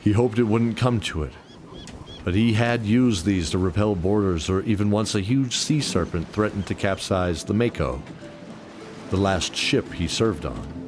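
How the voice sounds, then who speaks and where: A man's voice: fairly low pitch, measured pace. A man giving scripted narration of a fantasy adventure story in an audio drama. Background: music.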